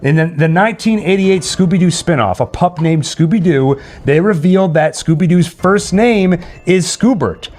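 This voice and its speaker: A man's voice: high-pitched, moderate pace, hardly any pauses, a little mellow. A man hosting a casual livestream quiz, playful and thinking aloud as he goes. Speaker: host and trivia quizmaster.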